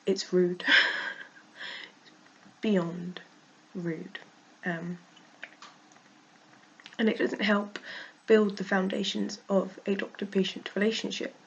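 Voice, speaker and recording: A woman with a cold and a croaky voice, frankly venting in a casual vlog and laughing often. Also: medium pitch, flat delivery, slow, pauses often, muffled recording, a little hiss or hum. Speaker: vlogger.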